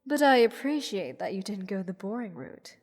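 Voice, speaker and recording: A woman playing a haughty, playful goddess who teases a captive mortal in an unhurried, condescending manner, in a roleplay audio drama. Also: mid-range voice, animated, darkish tone, close to an everyday voice. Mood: amused, teasing. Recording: long hall reverb. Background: music.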